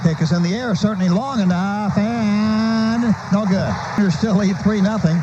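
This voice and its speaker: High-pitched man calling football on noisy radio. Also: measured pace. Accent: American. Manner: clipped, present-tense running call of each play. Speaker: radio play-by-play announcer. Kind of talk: live football play-by-play commentary. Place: stadium broadcast booth. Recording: muffled, noisy old radio broadcast.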